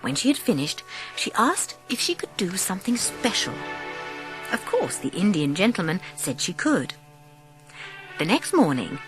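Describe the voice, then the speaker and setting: A woman narrating a children's audiobook as dramatic, scripted storytelling. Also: fairly low pitch. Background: music.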